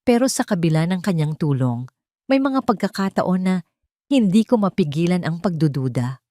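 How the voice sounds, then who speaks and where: A woman's voice: on the low side, big pitch swings, normal speed, mellow, no room sound, clean studio sound. A woman reading a scripted, dramatic first-person story. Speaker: story narrator.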